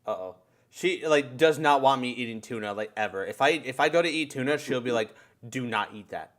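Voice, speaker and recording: A man's voice: mid-range voice, brisk, a bit bright. A man hosting a live stream with casual, profane group banter and crude joking.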